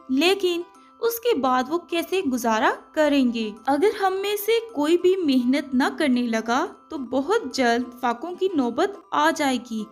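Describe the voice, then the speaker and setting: High-pitched woman narrating a children's story.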